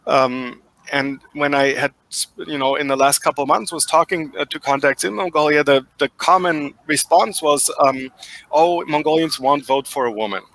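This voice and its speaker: A man's voice: a little high, normal speed, fairly bright tone, low-quality recording. A man with a German accent, giving thoughtful interview answers full of fillers and restarts. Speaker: guest expert.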